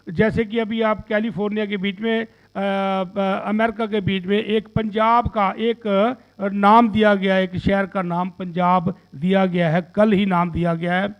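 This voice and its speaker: A man's voice: sits high, highs cut off. A man in his sixties giving an earnest, rambling statement to the press and repeating phrases as he makes an impassioned appeal. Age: 60s. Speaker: social activist and businessman giving a media statement.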